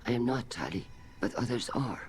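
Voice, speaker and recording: An actor playing an older alien admiral in a video game, grave, guarded and measured. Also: male voice, mid-range voice, expressive, close to an everyday voice. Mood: guarded, resolute. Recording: clear background noise.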